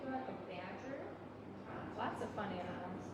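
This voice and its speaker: A woman's voice: mid-range voice, unhurried. A woman leading story time as a children's librarian, talking simply to preschoolers, asking them questions and reading aloud. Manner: simple child-directed talk with frequent questions. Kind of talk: interactive read-aloud to preschoolers. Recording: very echoey room, distant mic, noisy.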